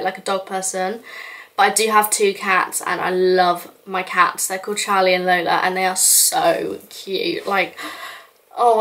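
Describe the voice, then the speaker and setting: A woman's voice: mid-range voice, a bit bright. A young woman from the UK chatting casually in a get-to-know-me vlog, rambling with lots of fillers. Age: young adult. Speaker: YouTuber.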